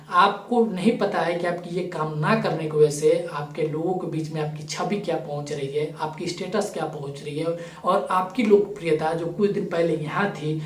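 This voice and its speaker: A man giving opinionated video commentary to viewers in an emphatic, accusatory manner. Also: a little high. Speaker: YouTube commentator. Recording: roomy echo, distant microphone.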